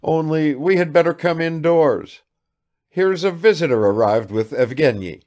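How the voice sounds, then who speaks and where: A man's voice: on the high side, measured pace, darkish tone, voice pushed up, natural voice. A man reading aloud and voicing a fussy, emotional elderly retired army father who tries to hide his feelings. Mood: flustered.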